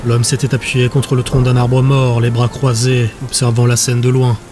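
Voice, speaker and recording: A man's voice: mid-range voice, monotone, normal speed, background noise. A man giving scripted narration for a fantasy audiobook.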